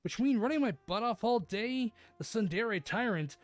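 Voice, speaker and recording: A woman streaming a visual novel read-aloud, mixing expressive narration with casual, playful chat. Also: on the high side, moderate pace, dry recording.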